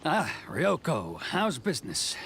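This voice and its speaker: A man's voice: on the high side, wildly swooping pitch, measured pace, gravelly, a bit bright, a little hiss or hum, full character voice. A man voicing a smug, self-important tax collector in a video game, haughty and given to musing aloud.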